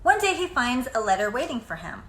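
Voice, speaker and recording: Woman, picture-book read-aloud, bright higher voice, deliberate storyteller phrasing. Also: sing-song. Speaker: storybook reader. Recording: echoey room, faint background noise.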